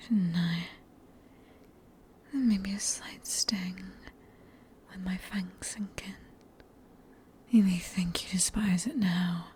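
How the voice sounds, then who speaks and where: A woman with a British accent playing a sadistic, possessive female vampire in a whispered ASMR roleplay, breathy and teasing, with condescending endearments. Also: lowish voice, warm tone, slight background noise. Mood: teasing, menacing.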